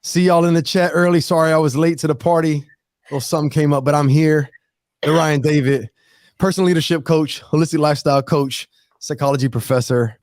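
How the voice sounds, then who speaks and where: A man who works as a life coach and professor, greeting the audience in a relaxed way as a panelist. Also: on the high side, a little fast, dry recording, studio quality. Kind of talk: casual panel chat.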